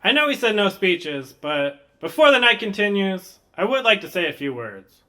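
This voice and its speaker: Guy doing a nervous groom, high bright bouncy voice, awkward. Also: big pitch swings, normal speed, exaggerated voice. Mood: earnest. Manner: awkward, earnest. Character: nervous earnest young groom.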